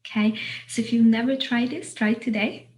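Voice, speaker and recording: A woman hosting an online panel, speaking off the cuff in a halting, reflective way. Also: a little high, fairly even intonation, darkish tone, low-quality recording. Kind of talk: unscripted event introduction. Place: online video call.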